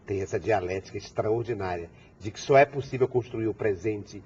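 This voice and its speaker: A man's voice: fairly low pitch, normal speed, a little mellow, breathy edge, muffled recording. A man hosting a TV interview, often repeating and prompting his guest's answers. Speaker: interview host. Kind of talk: conversational TV interview.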